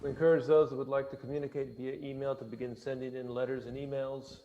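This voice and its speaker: Gravelly man chairing a formal meeting. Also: mid-range voice, little pitch movement, fast, mellow, highs cut off, a little hiss or hum. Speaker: commission chair running a public meeting. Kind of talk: formal meeting moderation, unscripted. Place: remote online meeting.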